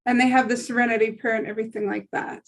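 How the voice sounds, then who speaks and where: Higher-pitched woman, matter-of-fact. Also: flat delivery, quick pace. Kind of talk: casual group discussion.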